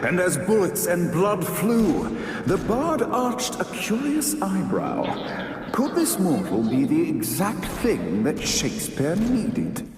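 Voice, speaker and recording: An actor playing a grandiose stage narrator in a video game, declaiming florid lines in a measured, dramatic way. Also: male voice, high-pitched, wildly swooping pitch, darkish tone, faint music behind, full character voice. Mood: excited, dramatic. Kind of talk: theatrical scripted game narration. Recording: echoey hall, distant, noisy backdrop.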